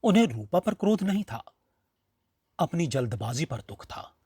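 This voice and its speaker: A man reading a literary short story as its narrator, in an expressive storytelling style. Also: a little high, moderate pace, breathy edge, no room sound, clean studio sound.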